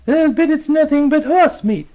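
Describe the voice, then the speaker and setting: A man puts on a shrill, cranky old woman who barely hears a word said to her. She speaks high above the narrator's own voice, suspicious and sharp. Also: normal speed, highs cut off. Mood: triumphant. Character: cranky hard-of-hearing old lady customer.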